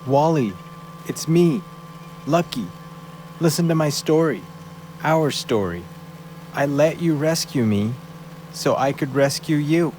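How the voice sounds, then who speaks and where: Slow-speaking small loyal dog played by a man. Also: on the high side, expressive, darkish tone, slight background noise, low-quality recording. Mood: pleading. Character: small loyal rescued dog, earnest and devoted, male. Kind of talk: audio play monologue.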